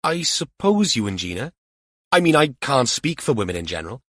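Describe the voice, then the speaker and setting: A man's voice: medium pitch, very animated, a little fast, fairly bright tone, dry recording, studio quality, pitched above the performer's own voice. A man voicing an earnest, rambling young male police officer in an audiobook. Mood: chatty, earnest. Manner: rambling, literal-minded, protesting.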